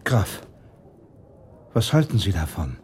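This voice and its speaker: An actor playing a proud, courteous prince, formal and easily provoked, with a husky voice in a German radio drama. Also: male voice, sits low, big pitch swings, takes it slowly, a little mellow, a little hiss or hum, exaggerated voice. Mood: puzzled, reflective. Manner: formal, dignified, indignant when crossed.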